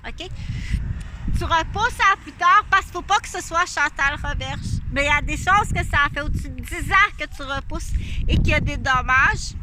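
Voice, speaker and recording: A woman's voice: high voice, noisy background. A woman with a Québécois French accent, talking in a rambling, repetitive monologue and defensively addressing an unnamed person she accuses. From Quebec, Canada. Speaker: vlogger. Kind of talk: rambling direct-to-camera monologue.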